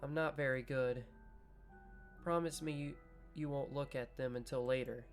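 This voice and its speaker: A man's voice: medium pitch, fairly even intonation, moderate pace, soft background music, natural voice. Shy teenage girl, as a man reads her lines. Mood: hesitant.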